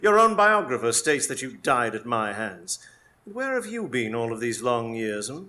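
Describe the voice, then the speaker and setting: A menacing male criminal genius acted by a man in a radio play. His middle-pitched voice is hard-edged and forceful, and he taunts with condescending mockery. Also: sing-song, moderate pace. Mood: taunting. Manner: mocking, condescending. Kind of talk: live radio drama acting.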